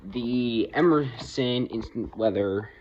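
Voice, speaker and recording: A man giving an unscripted, casual video review of his hobby collection, rambling a little and pausing mid-sentence. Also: medium pitch, darkish tone, dull audio, a little hiss or hum. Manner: halting, rambling delivery with pauses mid-sentence.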